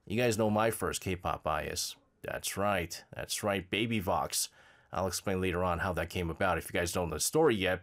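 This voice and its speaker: A man's voice: low-pitched, a bit bright. A man hosting a radio show, reading announcements and listener messages with energy and many fillers; he has a slight cold, sounds a bit nasal and drowsy. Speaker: radio host.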